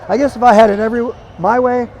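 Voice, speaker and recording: A hoarse man calling a live basketball game play by play, energetic and chatty, climbing into excited calls. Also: very high-pitched, fast talker, slight background noise. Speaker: play-by-play commentator. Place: arena broadcast position.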